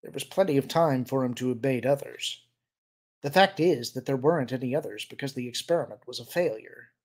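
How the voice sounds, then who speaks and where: A man voicing a calm, weary senior military officer in an audiobook, quietly firm and sad, with measured, gentle authority. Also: medium pitch, natural voice. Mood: gentle, grave.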